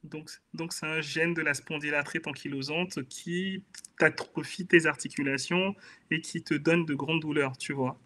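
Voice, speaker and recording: A man's voice: a little high, steady tone, measured pace, bright, forceful tone. A man from Benin with a Beninese accent, telling his own life story in an earnest, reflective way as a guest in a casual interview. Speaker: guest, author.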